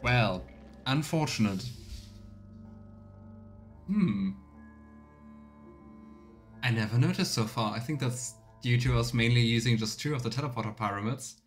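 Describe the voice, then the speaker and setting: A man streaming a video game with casual commentary and role-play asides, thinking out loud. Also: medium pitch, expressive, measured pace, pauses often, soft background music.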